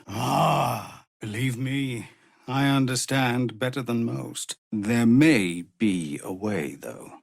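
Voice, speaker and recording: A fallen warrior leading refugees in a fantasy game. His voice is gravelly and husky, with an unhurried, formal and grave delivery. He sounds weary yet earnest, recorded close and dry. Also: mid-range voice, no room sound. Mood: urgent, earnest. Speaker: video game character voice. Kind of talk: dramatic fantasy game dialogue.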